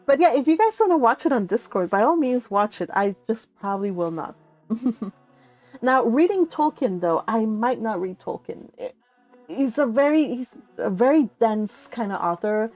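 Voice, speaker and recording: A woman's voice: on the high side, lively intonation, a little slow, darkish tone, faint music behind. Gushing woman chatting on a livestream. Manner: gushing, giggly, rambling with self-interruptions. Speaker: livestream host of a book read-along channel. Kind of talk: casual live-stream chat, reading out viewer comments.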